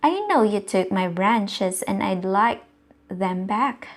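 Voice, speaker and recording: A woman voicing a beaver grieving over its stolen branches, read slowly and softly. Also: medium pitch. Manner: slow, soft read-aloud delivery.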